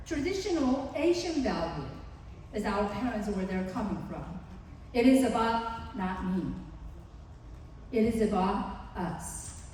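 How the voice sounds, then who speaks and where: A woman's voice: medium pitch, expressive, takes it slowly, a little mellow, a little hiss or hum. A woman with a Korean accent giving an earnest, explanatory seminar talk to parents as a psychologist, acting out examples as she goes. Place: large conference hall. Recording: distant, echoey hall.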